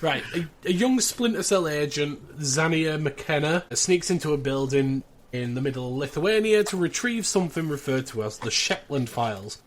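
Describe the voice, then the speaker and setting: A man's voice: a little high, expressive, moderate pace. A man co-hosting a podcast, chatting casually and running through summaries with wry, dry asides. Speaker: podcast co-host presenting the episode. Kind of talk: casual podcast chat with synopsis rundown.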